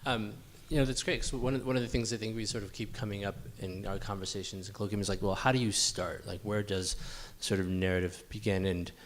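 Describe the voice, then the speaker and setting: A man's voice: lowish voice, fairly even intonation, fast, few pauses. A man moderating an informal academic discussion in a tentative, roundabout way, often saying "sort of". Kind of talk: informal question-and-answer moderating.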